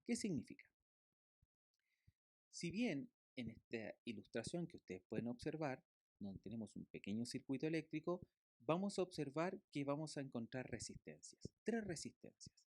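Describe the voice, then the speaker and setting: A man's voice: medium pitch, lively intonation, normal speed, darkish tone, no room sound. A man giving a recorded physiotherapy lecture from slides, explaining step by step with fillers and tag questions.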